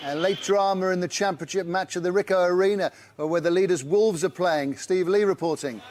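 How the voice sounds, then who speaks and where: Man, studio football presenter, fairly high voice, live results delivery, handing over to reporters. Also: fairly quick, background noise.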